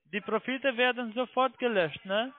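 High-pitched foreign man on a phone line. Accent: foreign accent in German. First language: not German. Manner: halting, ungrammatical, often ending on "ne?". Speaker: phone scam caller posing as broker. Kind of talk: improvised sales phone call. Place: telephone call. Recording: muffled narrow phone line.

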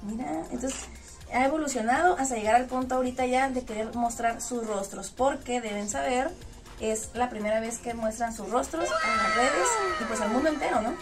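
A woman's voice: fairly high pitch, normal speed. A woman in her thirties with a northern Mexican accent, hosting a casual, chatty interview. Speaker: interviewer and host. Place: small indoor lounge room in a club. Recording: distant mic, music in background.

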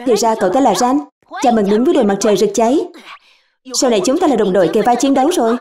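High-pitched, excitable cartoon youngster played by a woman. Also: racing, a crowd in the background, close to an everyday voice. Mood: eager, indignant. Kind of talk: animated cartoon dub, several characters under one speaker number.

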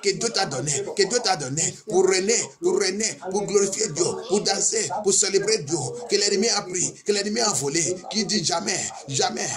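A man's voice: sits high, normal speed, few pauses, music in the background. A male pastor praying and preaching fervently, repeating phrases insistently like a chant as he moves between two languages. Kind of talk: fervent charismatic prayer sermon, switching between French and English.

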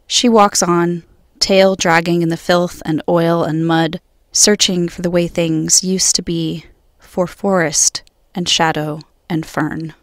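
A woman poet reading her own poems in a measured, solemn way. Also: on the low side, steady tone, no echo, clean studio sound. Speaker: guest poet. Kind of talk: poetry reading.

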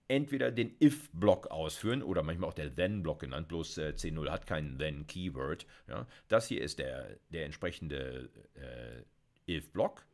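A middle-aged man giving a recorded university lecture on programming, talking through slides in a chatty way with many fillers. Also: deep voice. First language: German. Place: small dark room at a desk.

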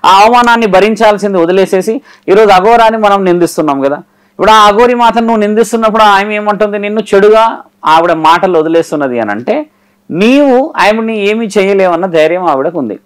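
A man's voice: sits high, animated, a little fast, clean tone. A man speaking as a guest guru, giving direct, didactic and admonishing advice in an interview.

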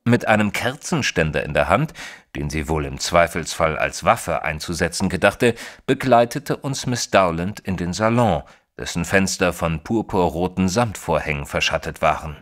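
A man playing a thoughtful detective's companion who narrates a mystery audio drama in a measured, literary way. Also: sits low, animated, a bit bright. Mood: measured narrating. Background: music under the voice.

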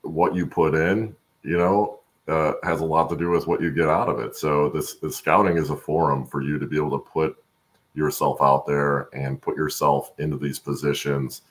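A middle-aged man with an extremely low, gravelly voice. He speaks as an interview guest over a video call, in an earnest, halting, conversational way. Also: steady tone. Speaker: guest, supply chain expert and voice-over announcer. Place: room at home, indoors.

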